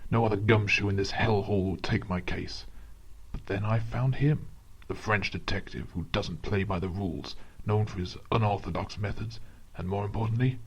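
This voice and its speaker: A man's voice: on the low side, fairly even intonation, moderate pace, slightly rough, low-quality recording. An actor playing a melodramatic hard-boiled London everyman in a noir-parody radio play. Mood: brooding, noir. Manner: dramatic, brooding.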